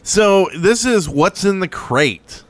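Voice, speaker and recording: A man's voice: high-pitched, animated, slow, no echo. A man co-hosting a podcast, chatting casually with wry banter. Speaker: podcast co-host. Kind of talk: casual chat.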